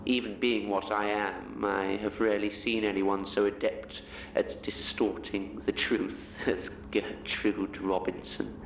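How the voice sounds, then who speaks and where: Man acting a creaky, echoing, eerie male monster. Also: on the low side, recorded from a distance, noisy background. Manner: slow, measured, theatrical phrasing. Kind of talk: dramatic horror monologue.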